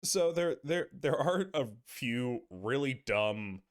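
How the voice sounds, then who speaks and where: Brief: young male guest, mid-pitched voice, laid-back, jokey, casual swearing. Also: animated, slow pace. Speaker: podcast guest. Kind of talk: casual chat.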